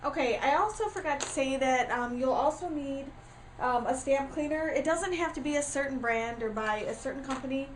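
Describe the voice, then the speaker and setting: Chatty, stuffy-voiced woman, high pitch. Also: normal speed, a little hiss or hum, poor audio. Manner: chatty with frequent ums and uhs. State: congested with a starting cold. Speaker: craft tutorial host. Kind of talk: casual craft tutorial. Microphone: camera mic.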